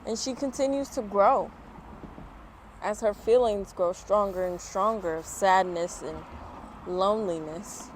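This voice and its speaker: A woman reading a children's picture book aloud in an expressive, unhurried way, with informal chatty asides. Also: mid-range voice, slight background noise, low-quality recording.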